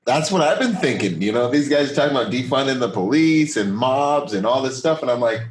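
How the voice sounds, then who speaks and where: A man's voice: mid-range voice, expressive, a little fast. An American man hosting a podcast in casual, enthusiastic, slangy and emphatic conversation. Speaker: podcast host. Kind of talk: casual interview chat.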